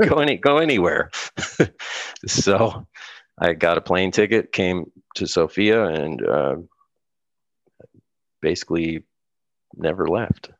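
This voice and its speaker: Casting: middle-aged American man, deep gravelly voice, dry close sound, loose storytelling guest. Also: expressive, takes it slowly, pauses often, no room sound. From United States. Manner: rambling, laughs often, many restarts. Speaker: guest musician. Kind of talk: casual interview chat.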